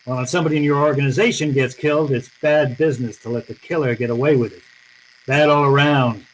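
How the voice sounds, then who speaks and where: A man's voice: mid-range voice, fairly even intonation, quick pace, a little hiss or hum, close to an everyday voice, poor audio. A man playing a cynical, unyielding private detective in an old-time radio drama, with a terse, tough, accusing manner. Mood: bitter, resolute.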